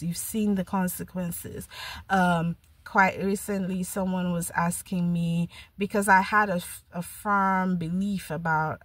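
A woman's voice: fairly low pitch, dry recording. Earnest woman sharing spiritual testimony. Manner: earnest, reflective, repeats words when starting a thought. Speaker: podcast host.

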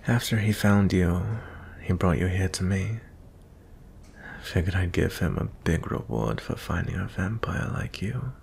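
A man playing a gentle, merciful young prince in an intimate ASMR fantasy roleplay, soft-spoken and hesitant, often slipping into whispers. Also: sits low, lively intonation, unhurried, vocal fry, a little hiss or hum, exaggerated voice. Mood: apologetic, gentle. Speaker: audio roleplay performer.

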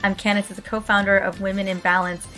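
A woman hosting a podcast interview, her voice raspy and hoarse from a book tour, talking in an effusive, gushing way. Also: mid-range voice, flat delivery, fast, bright, forceful tone, soft background music. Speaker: podcast host.

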